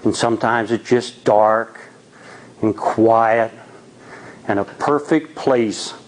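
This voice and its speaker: A man telling a spooky tale live in a theatrical, suspenseful way, with deliberate dramatic pauses. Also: fairly low pitch. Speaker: storyteller at a Toastmasters tall tales event.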